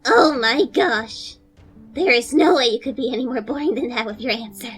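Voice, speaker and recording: A woman's voice: a little high, normal speed, a bit bright, faint music behind, close to an everyday voice. A woman playing a gleefully menacing, grandiose royal executioner in a cartoon skit, with a pompous, theatrical, mocking delivery. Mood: menacing, haughty. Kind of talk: hobby animated skit voice acting.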